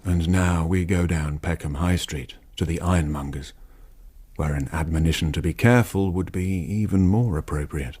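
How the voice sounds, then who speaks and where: A man's voice: low voice, moderate pace, darkish tone, husky. A man performing as a wry, knowing narrator of a literary radio drama. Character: wry puppet-master narrator voicing the story's players.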